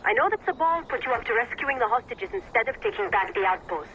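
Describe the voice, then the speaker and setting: Stern, bright-voiced female rebel leader. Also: on the high side, very animated, quick pace. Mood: firm, reproachful. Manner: firm, reproachful. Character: stern, pragmatic female rebel leader, frustrated but controlled. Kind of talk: video game cutscene acting. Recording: dull band-limited radio-like sound, faint noise.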